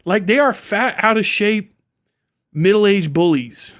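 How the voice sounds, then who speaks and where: A man's voice: sits high, takes it slowly. A man talking casually and off the cuff as a livestream host, blunt, slangy and profane, slipping into acted-out bits of dialogue. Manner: animated, acts out imagined dialogue. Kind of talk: casual unscripted livestream monologue.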